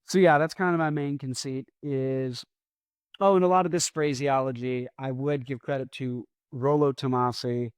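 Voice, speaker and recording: A man's voice: medium pitch, fairly even intonation, normal speed, smooth, dry recording, clean studio sound. A man hosting a podcast in a rambling, casual solo monologue. He mumbles his words and lets his S sounds drag out. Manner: rambling, self-deprecating. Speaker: podcast host.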